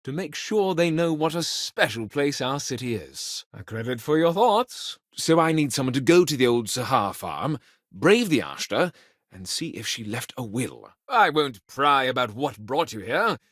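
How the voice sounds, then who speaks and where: An actor voicing a folksy, proud city official of a frontier space colony in a video game, polite but firm and talkative. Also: male voice, medium pitch, very animated, moderate pace, a bit bright, no room sound, studio quality. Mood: guarded, serious. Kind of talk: video game dialogue acting.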